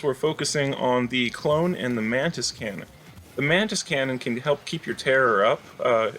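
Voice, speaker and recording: A man's voice: medium pitch, fairly bright tone. A man explaining a game build in a casual, instructional way, as a gaming video creator doing commentary.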